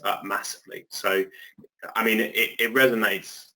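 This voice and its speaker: A man's voice: on the low side, fairly even intonation, normal speed, bright, forceful tone, rough recording. An English man speaking as a guest on a webinar, chatty and loose, with many fillers and restarts, on a video call. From England. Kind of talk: conversational webinar discussion.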